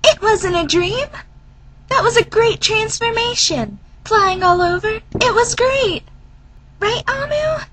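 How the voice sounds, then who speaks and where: A woman voicing a tiny, peppy, excitable girl spirit with loud exclamations for an anime fan dub audition. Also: extremely high pitch, takes it slowly, a little hiss or hum, pitched above the performer's own voice, exaggerated voice. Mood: excited.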